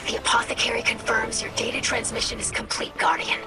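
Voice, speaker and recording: An actress playing a young, earnest, anxious alien scientist in a video game, speaking with gentle, formal precision. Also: female voice, fairly high pitch, fairly even intonation, brisk, music in the background, close to an everyday voice. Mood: earnest, focused. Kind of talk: dramatic video game acting.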